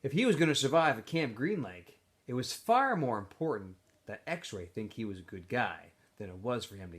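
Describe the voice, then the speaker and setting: A man, a teacher reading a novel aloud to students in an expressive, informal storytelling style. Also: fairly high pitch.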